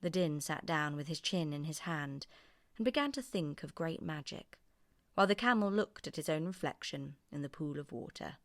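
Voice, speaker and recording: Brief: female storybook narrator, slightly low pitch, very animated, unhurried, close dry sound. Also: no echo. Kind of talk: expressive scripted children's story narration.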